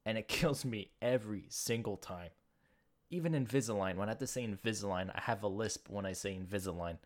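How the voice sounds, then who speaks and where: A man's voice: fairly low pitch, brisk. A young man with a slight lisp, hosting a solo podcast and rambling through jokey, self-deprecating stories. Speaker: podcast host. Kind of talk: casual solo podcast monologue.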